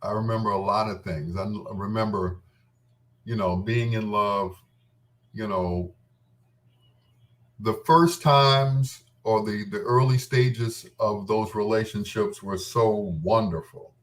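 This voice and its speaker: A man's voice: lowish voice, takes it slowly, fairly bright tone, far from the mic. A middle-aged man reminiscing casually in a rambling, candid and playful way, as the host of his own show. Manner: informal, candid, playful storytelling with self-quoting.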